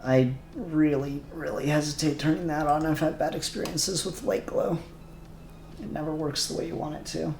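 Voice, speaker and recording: Gravelly man muttering while he works. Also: fairly high pitch, steady tone, moderate pace, a little mellow, reverberant, a little hiss or hum. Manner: mutters settings aloud while working, chuckling. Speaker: streamer doing 3D render work. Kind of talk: casual livestream chat.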